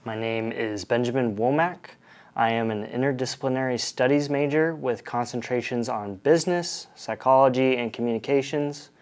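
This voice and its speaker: Young man, smooth clear voice. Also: medium pitch, moderate pace. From Oregon, USA. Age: young adult. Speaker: college student.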